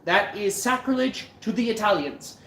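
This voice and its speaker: A man's voice: high-pitched, expressive, normal speed, pushed and bright. Stuffy South African man, roomy sound. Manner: chatty, answers viewers, casual swearing. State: stuffy nose. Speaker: livestream cooking host. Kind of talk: casual live-stream chat.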